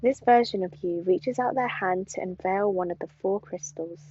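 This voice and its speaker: A young woman with a soft, soothing voice, chatting casually as a tarot card reader in a small room at home, filmed by a camera across a desk. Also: mid-range voice, husky, dull audio. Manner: chatty and rambling with fillers, later slow and hushed. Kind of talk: casual pick-a-card reading, then guided meditation.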